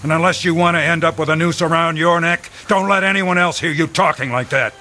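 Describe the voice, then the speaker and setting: An actor playing a callous, mocking soldier and executioner in an old-time radio drama, with a hard, forceful manner. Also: male voice, a little high, steady tone, brisk. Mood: hard, cold. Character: callous, sneering male soldier, a taunting executioner.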